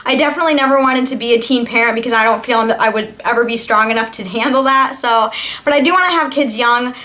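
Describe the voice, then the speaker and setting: A woman's voice: a little high, pushed and bright. A twenty-year-old woman chatting casually in a question-and-answer vlog, informal and talkative as she reads and answers viewer questions.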